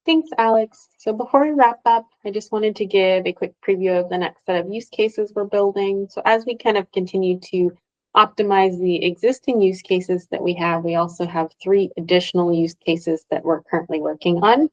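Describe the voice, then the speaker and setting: A woman's voice: mid-range voice, normal speed. Woman presenting on a dull-sounding call. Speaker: product presenter. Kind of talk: informal work presentation. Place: video call. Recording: dull, lo-fi video call audio.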